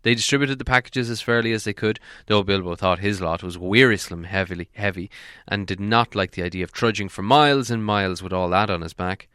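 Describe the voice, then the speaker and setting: A man's voice: on the low side, a little fast, a bit bright, smooth, no echo, studio quality. A man reading a storybook aloud as an audiobook narrator, in scripted narration. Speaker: audiobook reader.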